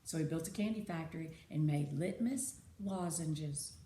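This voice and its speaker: A woman narrating a children's chapter book aloud in an expressive storybook style. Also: sits low, moderate pace. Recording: echoey room with clear background noise.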